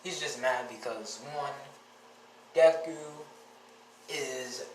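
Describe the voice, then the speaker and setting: A man with a scratchy, raspy voice and a stuffy nose from a cold, rambling through a story and often correcting himself. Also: medium pitch, slow. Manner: rambling, with frequent self-corrections. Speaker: fan-fiction storyteller. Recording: roomy, distant mic, noisy background.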